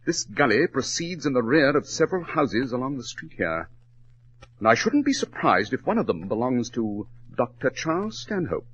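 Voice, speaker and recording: An actor playing a brisk, superior detective in 1940s radio drama style, with a pompous, clipped, instructive manner. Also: male voice, medium pitch, very animated, lightly acted. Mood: superior, deductive. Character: brisk, superior, theatrical male detective, coolly analytical. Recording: dull, lo-fi 1940s radio recording.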